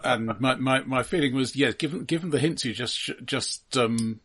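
A man's voice: medium pitch, moderate pace, a bit bright, slightly rough. A man co-hosting a casual film discussion with wry, dry humour. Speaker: film podcast co-host.

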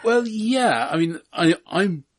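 A man's voice: high voice, animated, slow. A man co-hosting a film podcast, chatting casually with dry, wry humor. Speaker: podcast co-host.

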